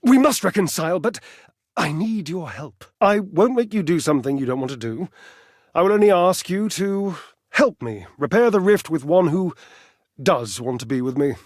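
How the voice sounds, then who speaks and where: A flamboyant male fitness fanatic from a video game, vain and grandly melodramatic. His voice sits a little high and leaps about with great animation. He teases affectionately, lavishing pet names and relishing every florid phrase. The sound is close and dry. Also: expressive, normal speed, dry recording. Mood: earnest, pleading. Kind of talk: theatrical video game character acting.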